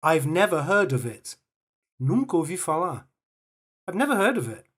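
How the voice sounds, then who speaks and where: A woman reading short phrases slowly and carefully for language learners to repeat. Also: sits low, big pitch swings, breathy edge. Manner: reads each phrase slowly and carefully, with pauses for repetition. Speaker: phrase recording voice. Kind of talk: slow, clear phrase drills for learners.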